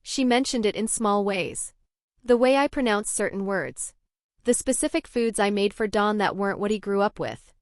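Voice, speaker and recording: A young adult woman from Norway, narrating her own story in a measured, even scripted way. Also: medium pitch, no room sound, clean studio sound.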